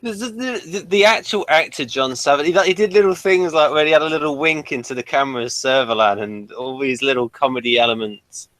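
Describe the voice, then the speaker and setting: A man's voice: mid-range voice, fairly quick, hardly any pauses, fairly bright tone, dry recording. A man in casual debate as a panel reviewer, eagerly insisting on his points with stumbling restarts. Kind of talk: casual chat, explaining and debating TV trivia.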